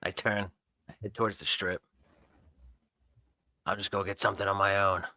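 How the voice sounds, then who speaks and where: Guy doing first person rpg narration, low pitched, really bright tense edge to it. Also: flat delivery, normal speed, no echo, clean studio sound. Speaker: tabletop roleplay player.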